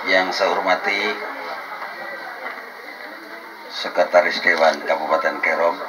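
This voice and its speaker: A man giving a formal speech with a religious opening at a community gathering, measured and lightly joking. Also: sits low, fairly even intonation. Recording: noisy event recording.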